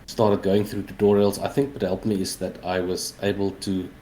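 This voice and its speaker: A man's voice: low-pitched, flat delivery, normal speed, mellow, a little hiss or hum, low-quality recording. A South African man in his forties, a native Afrikaans speaker, chatting casually as a game developer being interviewed. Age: 40s.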